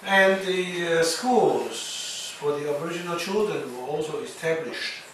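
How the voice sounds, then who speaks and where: Halting man lecturing in an echoey hall. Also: a little high, fairly bright tone. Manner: halting, many fillers and restarts. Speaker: university lecturer. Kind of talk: classroom lecture. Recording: echoey lecture hall, distant mic, noisy.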